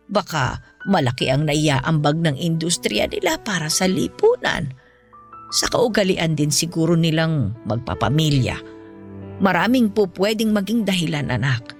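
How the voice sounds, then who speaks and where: A woman acts as an ailing mother in a Filipino radio-style drama. Her voice is on the low side and moves expressively as she counsels her grown child with patient, earnest morals. Music plays faintly behind her. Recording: music bed under the voice.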